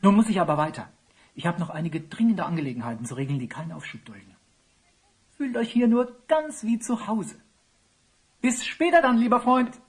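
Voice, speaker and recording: A busy, courteous falconer from a fantasy radio play. His voice is rather high and husky, swinging widely in pitch as he speaks with hearty formality. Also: very animated, normal speed, heavily acted. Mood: courteous, hurried. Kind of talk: fantasy audio play acting.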